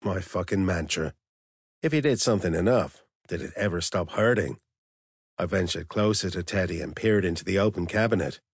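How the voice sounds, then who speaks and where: A man's voice: low voice, moderate pace, breathy edge, no echo, studio quality. A man narrating a first-person novel in a blunt, profane, wry manner. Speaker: audiobook narrator.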